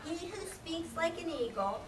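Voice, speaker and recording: A high-voiced woman tells a scripted story as narrator of a children's puppet show. She is recorded from a distance in an echoey room, with clear background noise. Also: animated, a little slow.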